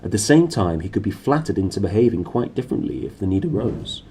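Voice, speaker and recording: A man's voice: on the low side, very animated, quick pace, mellow, husky, exaggerated voice. A man voicing a worldly, assured professor in a storybook, emphatic and knowing. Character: opinionated male Arctic-travelled professor, worldly and assured.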